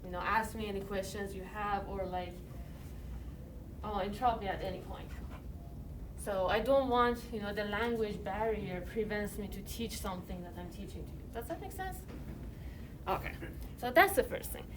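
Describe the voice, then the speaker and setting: A woman's voice: medium pitch, moderate pace. A woman from Iran with an Iranian accent, giving a chemistry lecture in a university classroom in a loose, conversational way full of "you know" and "like". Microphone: iPad recording from a distance. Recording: distant mic, noisy classroom.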